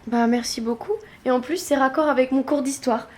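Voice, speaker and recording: A woman's voice: high voice, steady tone, fairly quick, echoey room, lightly acted. A young-sounding woman playing a casual, earnest teenage daughter, hesitant, in naturalistic audio drama dialogue. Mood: moved, earnest.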